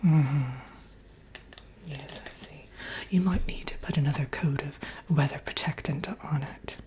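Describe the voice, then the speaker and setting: A woman voicing a vain, sly wicked witch in a breathy, whispered ASMR roleplay, chatting coyly as if with an old friend. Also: low-pitched, steady tone, a little mellow. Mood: appraising, soft. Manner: theatrical, coyly boastful, doting, slow and murmuring.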